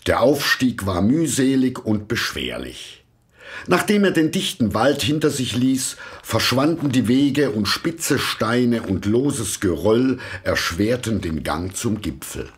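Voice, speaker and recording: A man narrating a scripted fairy tale in a deliberate, expressive storytelling manner. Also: medium pitch. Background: music.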